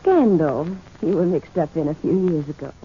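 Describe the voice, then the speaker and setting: A woman's voice: low-pitched, animated, normal speed, a little hiss or hum. An actress playing a sly, coolly confident female blackmailer with coy, insinuating delivery, in 1940s radio drama style. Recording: muffled old 1940s radio recording.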